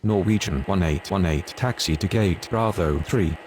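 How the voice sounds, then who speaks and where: A man's voice: low voice, normal speed, a little mellow, slight background noise, lightly acted. A man playing a businesslike airport radio operator in an aviation game, speaking formal, procedural phraseology. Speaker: air traffic radio voice.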